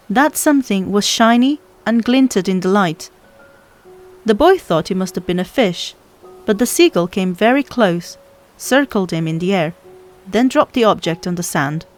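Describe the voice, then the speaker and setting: A woman reading a children's story aloud as scripted narration, as an audiobook narrator does. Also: medium pitch. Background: music.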